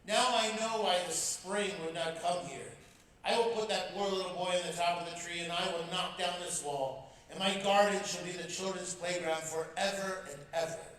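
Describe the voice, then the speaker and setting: A selfish, grumpy giant, put on by a man reading a storybook aloud. The voice booms in a high, stretched-out register. It rings around a big echoey church, heard from a distance. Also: fairly even intonation, normal speed, a bit bright. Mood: remorseful. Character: selfish grumpy male giant, later remorseful.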